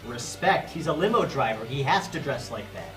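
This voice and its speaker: A man's voice: medium pitch, animated, normal speed, a bit bright, natural voice. Comedy table read: male performer as snide, buzzed ladies' man, roomy sound, music underneath. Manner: flippant, snide. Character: buzzed, sleazy, flippant womanizer buddy.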